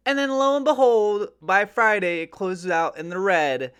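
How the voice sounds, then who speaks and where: A man's voice: high voice, moderate pace, fairly bright tone, smooth. A man hosting a finance video, talking casually to viewers in an emphatic, colloquial way with pointed rhetorical questioning. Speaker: finance and crypto video host. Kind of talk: casual market commentary to viewers.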